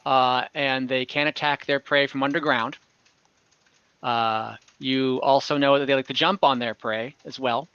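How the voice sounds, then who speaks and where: A man narrating an online tabletop game as game master, improvising in a halting way with pauses mid-sentence. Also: mid-range voice, fairly even intonation, a bit bright, clear, resonant tone, dry recording, highs cut off. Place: indoors, online voice call.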